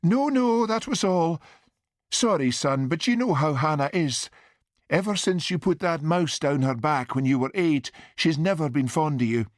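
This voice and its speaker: A man voicing a busy Highland Scottish mother in an audiobook, plaintive and coaxing, with brisk turns of speech. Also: no room sound, studio quality, natural voice. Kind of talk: audiobook character dialogue.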